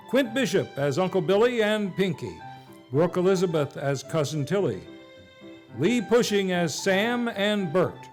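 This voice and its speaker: A man working as announcer, reading scripted closing credits for a live stage radio show. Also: high-pitched. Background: faint music.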